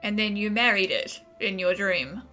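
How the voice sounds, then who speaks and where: A woman's voice: medium pitch, normal speed, sharp tone. A woman giving casual, sarcastic commentary as she reacts to a video game. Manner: wry, sarcastic. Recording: game music behind the voice.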